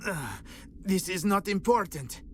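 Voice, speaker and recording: An actor playing an anxious, urgent scientist with formal, halting phrasing in a video game cutscene. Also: male voice, sits high, slight background noise. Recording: game music behind the voice.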